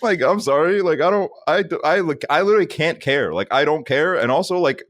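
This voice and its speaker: A man's voice: a little high, animated, fairly quick, a bit bright, no echo, clean studio sound. A young man from Estonia co-hosting a podcast, joking and laughing through casual chat with frequent restarts. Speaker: podcast co-host.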